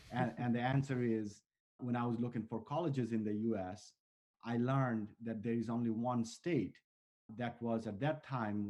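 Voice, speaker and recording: Earnest founder with a mellow voice. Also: male voice, mid-range voice. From Bangladesh. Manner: earnest, talks in long strings of restarts and fillers. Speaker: startup founder, interview guest. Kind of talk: conversational interview answers.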